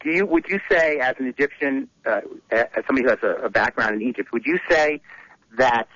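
A man co-hosting a call-in radio show, who asks his questions quickly and stumbles over them. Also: medium pitch, a bit bright, dry recording, lo-fi sound. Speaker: radio co-host.

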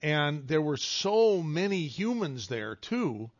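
A man's voice: fairly high pitch, very animated, slow, no echo. A man hosting a call-in radio show in a casual, jokey, rambling way, often restarting his phrases. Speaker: radio talk show host. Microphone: studio microphone. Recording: dull, dry radio studio sound.

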